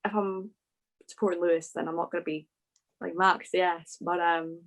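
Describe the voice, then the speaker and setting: A woman's voice: on the low side, flat delivery, normal speed. A woman chatting casually as a panellist, with a gushing, fan-like way of talking. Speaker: motorsport podcast panellist. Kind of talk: casual chat.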